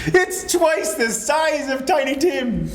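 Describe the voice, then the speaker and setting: A man's voice: very high voice, very animated, slow pace, full character voice. A man performing a theatrical dramatic reading as an old miser who has repented and turned effusive and generous. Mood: giddy delight. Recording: echoey room with clear background noise.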